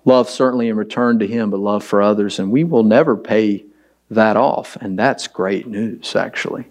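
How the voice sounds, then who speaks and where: A hoarse man with a raspy, deep voice, preaching a conversational and earnest sermon as pastor of his church. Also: moderate pace, darkish tone. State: hoarse from preaching late the night before.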